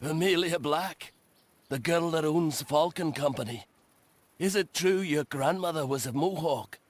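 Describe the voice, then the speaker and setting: A nosy old-timer in a game cutscene. His voice sits fairly high for a man, and he is persistent and prying. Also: unhurried. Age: older. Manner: prying, insistent. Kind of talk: video game cutscene acting.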